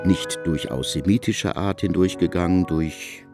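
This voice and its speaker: A man playing an erudite narrator in a literary radio drama, speaking eloquently with deliberate phrasing. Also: deep voice, gravelly, loud music behind the voice. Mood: erudite, expressive. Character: erudite male narrator of a biblical epic.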